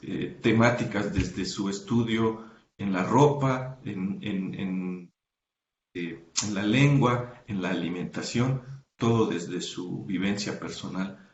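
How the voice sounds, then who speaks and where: A man being interviewed as a researcher, explaining his academic work carefully, pausing often with "eh" and correcting himself mid-sentence. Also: low voice, roomy sound, lo-fi sound, a little hiss or hum. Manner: thoughtful, many hesitations and self-corrections. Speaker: guest researcher.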